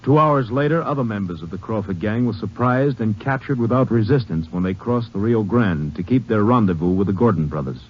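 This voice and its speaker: A man's voice: on the low side, animated, a little fast, few pauses, noisy background. A man reading a formal scripted epilogue as a 1950s radio announcer. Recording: dull old radio recording, music behind.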